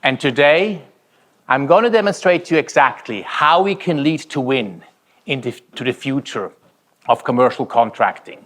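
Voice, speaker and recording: Animated Swiss-German accented male keynote speaker. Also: mid-range voice. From Switzerland. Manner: dramatic, emphatic storytelling with deliberate short phrases. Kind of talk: rehearsed motivational conference keynote.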